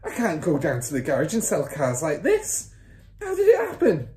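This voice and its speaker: A woman voicing a vain, blustering father from a children's story, yelling in an indignant, flustered way. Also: deep voice, huge pitch swings, normal speed, echoey room, pitched above the performer's own voice. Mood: horrified. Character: blustering, loud, panicky, vain father, a car salesman.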